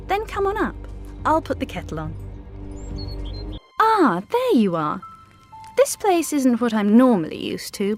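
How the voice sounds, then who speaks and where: An actress voicing a fussy, condescending noblewoman in a video game. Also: sits high. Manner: genteel, chirpy, condescending. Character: prim, fussy noblewoman, house-proud and bossy. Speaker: game character.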